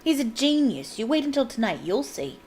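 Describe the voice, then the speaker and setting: A woman voicing an excitable teenage schoolboy with a put-on English accent, raising her voice to gush. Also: sits high, a little fast, a little hiss or hum, voice pushed up. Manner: raised, gushing, incredulous.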